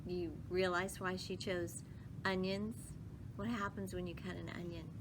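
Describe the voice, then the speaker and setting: A woman reading a children's story aloud with emphatic phrasing, pausing to put questions to listeners. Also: little pitch movement. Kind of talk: expressive children's read-aloud with asides to listeners. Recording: clearly noisy with low rumble.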